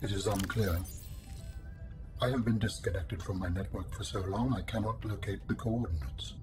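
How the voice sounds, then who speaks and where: A man plays an ancient alien intelligence from a video game. His voice is low, measured and formal, detached and literal to the point of stiffness. Music sits clearly behind him. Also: natural voice. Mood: matter-of-fact. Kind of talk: scripted video game dialogue.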